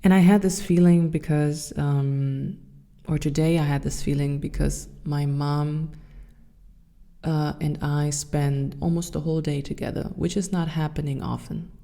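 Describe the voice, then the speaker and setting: A woman in her thirties with a German accent, hosting her own podcast and speaking softly and thoughtfully with some hesitant fillers. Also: deep voice, steady tone, a little slow, creaky. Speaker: podcast host. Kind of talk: reflective solo podcast talk.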